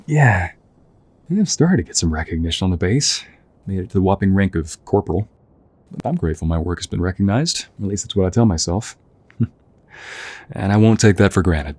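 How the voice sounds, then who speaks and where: Man acting a deep, husky young soldier, affectionate. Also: expressive, brisk, pauses often, no room sound. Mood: warm, reminiscing. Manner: gentle, affectionate, hesitant, chuckling conversational delivery. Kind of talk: intimate audio roleplay acting.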